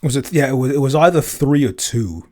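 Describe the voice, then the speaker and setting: A man's voice: mid-range voice, animated, a little fast, warm tone. A man chatting casually in a Q&A, hesitant and often repeating himself as he searches for words. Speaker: podcast co-creator, composer and episode writer. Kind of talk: casual Q&A chat.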